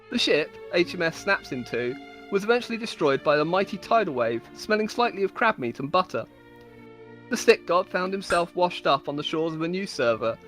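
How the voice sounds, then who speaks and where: A woman storyteller giving a dramatic, mock-epic reading of comic fantasy stories, with amused asides. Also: lowish voice. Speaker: storyteller on a game server.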